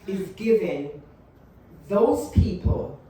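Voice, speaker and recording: A woman in her fifties, a panel guest who tells stories in a relaxed way and repeats her points. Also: medium pitch, extremely slow. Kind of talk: panel discussion. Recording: echoey room, mic from a distance.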